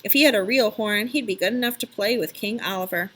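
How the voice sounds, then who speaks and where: Lady doing a canny, protective friend guy from a kids book, polite haggler, bright voice. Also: medium pitch, fast, breathy edge. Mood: proud. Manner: plain-spoken, polite, canny. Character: Alex, protective, shrewd male friend bargaining for Louis.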